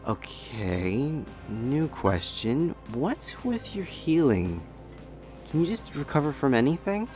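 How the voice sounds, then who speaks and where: A man's voice: mid-range voice, big pitch swings, takes it slowly, darkish tone. A man acting an anxious, naive young doctor who stammers earnestly in a sci-fi audio drama. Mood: probing. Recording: music bed underneath.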